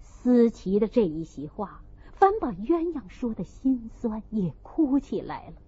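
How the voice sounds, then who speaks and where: A woman with a high voice reads a classic story at a slow, measured pace. Her tone is soft and mellow, and the delivery is scripted and expressive. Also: husky, lo-fi sound. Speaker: audiobook reader.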